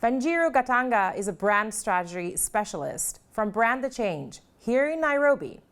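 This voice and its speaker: Female event host, higher voice with animated intonation, scripted presenting. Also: normal speed, clean tone.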